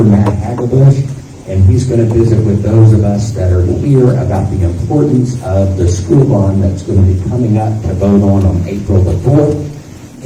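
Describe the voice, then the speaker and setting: A man making relaxed, chatty announcements to a small meeting room. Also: fairly low pitch. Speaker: event organizer. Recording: roomy, distant, noisy PA.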